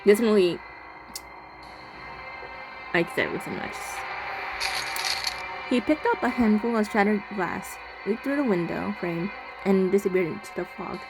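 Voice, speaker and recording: A woman's voice: mid-range voice, unhurried, pauses often, poor audio. A woman hosting a game playthrough, reading narration aloud and adding casual commentary. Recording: voice over loud music. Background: game music.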